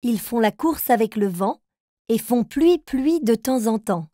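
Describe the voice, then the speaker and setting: A woman's voice: on the high side, expressive, a little slow, mellow, dry recording, clean studio sound. A woman playing a patient, encouraging mother figure who tells riddles to young children in a cartoon. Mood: playful, teaching.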